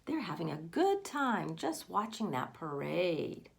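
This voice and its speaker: A woman with a fairly low voice reads a picture book to young children. Her delivery swings widely in pitch and often dips into creaky fry, as she chats to the listeners and puts questions to them. There is clear background noise in the recording. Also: takes it slowly. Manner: expressive, addresses young listeners with questions and exclamations. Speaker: read-aloud storyteller for children. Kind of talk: picture book read-aloud with asides to children.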